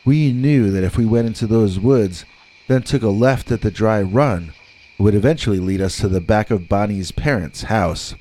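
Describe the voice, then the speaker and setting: A man reading scripted scary stories as a narrator, with dramatic, deliberate delivery and drawn-out words. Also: on the low side, mellow, poor audio.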